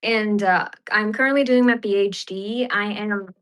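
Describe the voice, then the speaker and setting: A woman's voice: medium pitch, normal speed, clean tone. A young woman from Fiji, a podcast guest and economist, talking casually with many fillers. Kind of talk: casual interview.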